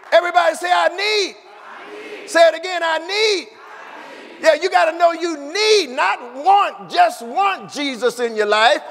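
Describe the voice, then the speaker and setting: A male pastor preaches in a very high, gravelly voice, shouting his lines with fervent repetition. The sound carries a long church reverb, and a congregation is faintly audible behind him. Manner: fervent call-and-response preaching, repeats phrases. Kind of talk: shouted sermon. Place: church sanctuary. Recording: echoey hall with congregation.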